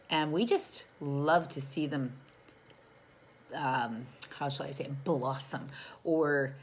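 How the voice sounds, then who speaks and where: Lady teacher reading a kids book, real slow, lowish voice, asks the kids stuff, encouraging. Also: slight background noise. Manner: expressive, encouraging, addresses children directly with questions. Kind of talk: read-aloud storytime for young children.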